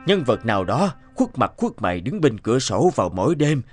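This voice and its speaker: A man's voice: mid-range voice, sing-song, fairly quick, music in the background, natural voice. Man as a formal cartoon doctor. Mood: grave, explaining. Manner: formal, explanatory. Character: sober, authoritative middle-aged male doctor. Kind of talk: cartoon voice acting.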